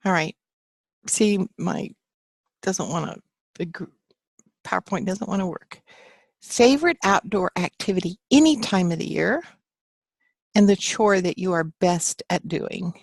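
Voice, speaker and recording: A woman's voice: fairly low pitch, expressive, unhurried, vocal fry, long pauses, no room sound. A woman teaching a live online class from her home office, chatting with students and reading their answers aloud in an encouraging way. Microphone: computer mic on a video call.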